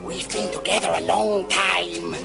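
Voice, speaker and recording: A man's voice: high-pitched, fairly even intonation, a little slow, vocal fry, music in the background, full character voice. A man playing a servile, gloomy monster henchman in a radio comedy. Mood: chatty. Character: creaky, servile monster henchman of an evil master. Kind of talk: 1980s British radio comedy acting.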